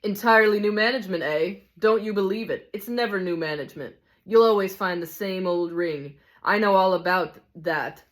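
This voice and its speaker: A man's voice: high voice, moderate pace, hardly any pauses, a bit bright. A woman reading the part of a cynical, hard-bitten male ghost in a storybook, grumbling scornfully and insistently. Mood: bitter, scornful. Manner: scornful, insistent complaining with rhetorical questions.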